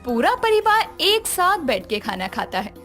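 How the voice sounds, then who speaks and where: A woman narrating a scripted animated moral story as its storyteller. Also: high voice, unhurried, faint music behind.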